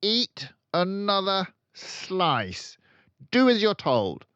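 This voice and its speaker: A man voicing a tyrannical, bullying headmistress in a storybook, shouting and drawing out commands. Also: high voice, huge pitch swings, clean tone, no room sound, dull audio, voice pushed up, exaggerated voice. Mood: sharp, menacing. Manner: shouting, drawn-out commanding delivery. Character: Trunchbull, tyrannical bullying headmistress, loud and menacing woman.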